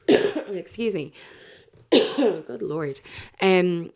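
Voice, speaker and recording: A woman's voice: fairly low pitch, lively intonation, extremely slow. An Irish woman hosting a podcast in casual, chatty talk, with lots of fillers and restarts. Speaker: podcast host. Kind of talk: casual chat.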